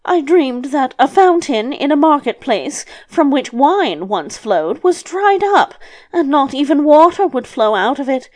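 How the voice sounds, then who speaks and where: Casting: female reader as elderly fairy-tale grandmother, high voice, sly, scolding yet soothing, drawn-out delivery. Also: sing-song. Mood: plaintive. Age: older.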